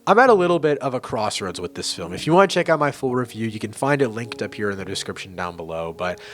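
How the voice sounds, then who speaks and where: A man's voice: fairly low pitch, lively intonation, fast, fairly bright tone. Opinionated young man ranking movies. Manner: enthusiastic, opinionated, swears casually, frequent restarts. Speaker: movie reviewer. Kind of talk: casual ranking commentary to camera.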